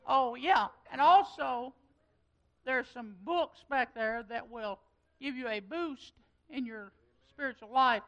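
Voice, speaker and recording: A woman's voice: high-pitched, slow pace, a bit bright, highs cut off. A woman giving chatty, folksy announcements as pastor at a church meeting. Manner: chatty and folksy, with loose trailing phrases.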